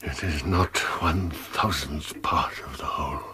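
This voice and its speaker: An older man acting in a radio drama as a guilty, greedy, fearful dying father, speaking weakly and haltingly with laboured breath. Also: rumbling low, sharp tone, a little hiss or hum, full character voice. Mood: weak, urgent. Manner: slow, halting, laboured delivery.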